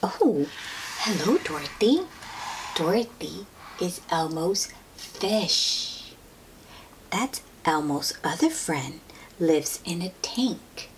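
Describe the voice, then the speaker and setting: A woman reading a picture book aloud to young children, gently drawing out her words and asking them questions in an expressive way. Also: fairly low pitch, slow, long pauses. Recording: steady noise behind the voice.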